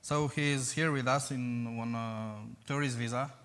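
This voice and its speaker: Romanian-accented man, bright voice, echoey hall. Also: mid-range voice, fairly even intonation. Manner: halting non-native English with pauses. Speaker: missionary. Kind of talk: church presentation. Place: church sanctuary.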